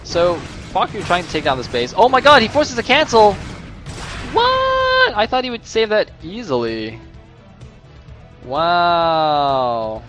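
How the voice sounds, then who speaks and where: A hoarse young man doing solo play-by-play commentary on a game, chatty and rambling, jumping into excited bursts. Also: sits high, slow pace. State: losing his voice, a little sick. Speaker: esports caster. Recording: music playing underneath.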